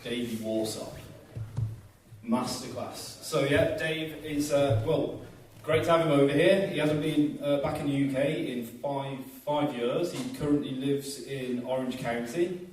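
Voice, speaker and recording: A man's voice: mid-range voice, measured pace, darkish tone. A man hosting a college event in a chatty, jokey way, addressing a student audience. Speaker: college lecturer and host. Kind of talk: casual stage hosting. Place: college hall. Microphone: handheld mic heard from far off. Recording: very echoey hall from far off with music.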